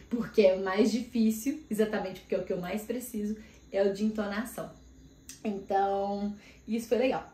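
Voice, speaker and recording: A woman in casual chat, vlogging and telling about her week with lively asides. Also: a little high. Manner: chatty, animated storytelling with frequent asides. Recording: echoey room.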